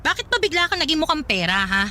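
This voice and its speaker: A woman's voice: high voice, sing-song, quick pace, sharp tone, full character voice. A woman playing a feisty, jealous young Filipino eatery owner in a radio drama, sassy and scolding. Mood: accusing.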